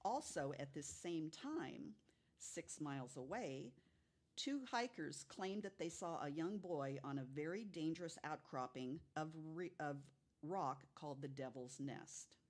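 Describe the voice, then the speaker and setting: A woman's voice: sits low, animated, unhurried. A woman telling stories of unexplained cases in a casual way, as a guest on a podcast.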